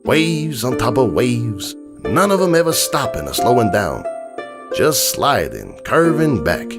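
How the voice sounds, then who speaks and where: A man playing a gruff, world-weary, streetwise stallion who tells a bedtime story in slangy, grumbling and wistful tones, as a dramatic reading. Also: mid-range voice, very animated, measured pace, over music. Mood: wistful, smitten.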